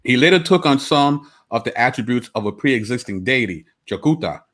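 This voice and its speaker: A man from Brooklyn co-hosts a relaxed podcast discussion. He speaks at an ordinary pitch. He reads source passages aloud and often stops to correct himself. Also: brisk. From United States (Brooklyn). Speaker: podcast co-host.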